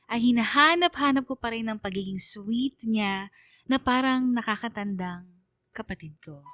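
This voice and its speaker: A woman's voice: medium pitch, no echo, close to an everyday voice. Woman acting plaintive young letter narrator, dry. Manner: earnest, plaintive storytelling. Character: hurt, sentimental young woman narrating her own heartbreak. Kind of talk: dramatized letter narration on radio.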